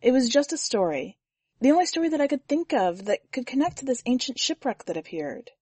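A woman voicing a firm, reserved woman free diver, earnest and climbing higher in pitch when pressed. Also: sing-song, fairly quick, a little mellow, breathy edge, no room sound, heavily acted. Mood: insistent.

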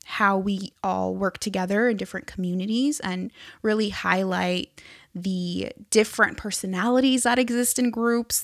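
A woman's voice: medium pitch, vocal fry, dry recording, studio quality. A woman talking conversationally in an interview as an author. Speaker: first-time children's book author being interviewed.

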